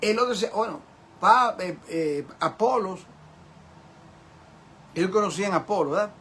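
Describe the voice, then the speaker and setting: A man's voice: sits high, animated, a little slow, fairly bright tone, slightly rough. An older man teaching a Bible class as a pastor, in an emphatic, repetitive way with rhetorical questions to his listeners. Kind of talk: sermon-like Bible lesson.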